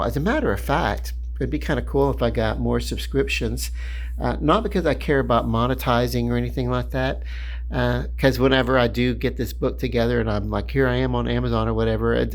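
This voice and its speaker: A man in his fifties with a voice that is high-pitched for a man, talking in a rambling, halting monologue full of fillers and restarts. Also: creaky, low-quality recording. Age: 50s. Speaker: writer talking to his channel.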